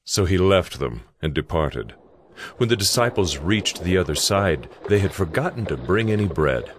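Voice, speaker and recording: A man narrating scripture in an audio drama with a measured storytelling cadence. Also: sits low, very animated, a little hiss or hum.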